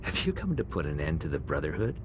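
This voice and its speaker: An actor voicing a savage cult warlord in a video game, mocking and menacing in a theatrical way. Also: male voice, very deep voice, wildly swooping pitch, fast talker, noisy background, heavily acted. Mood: menacing, defiant.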